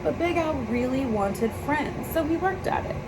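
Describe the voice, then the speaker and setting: A woman's voice: sits high, moderate pace. A woman reading a children's picture book aloud in a storybook narration style. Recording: clear steady background noise.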